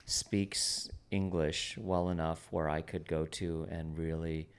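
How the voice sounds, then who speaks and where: A man interviewing a peer about church life, halting and restarting his phrases and checking that he is understood. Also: extremely low pitch, level tone, clear, resonant tone. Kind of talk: conversational interview.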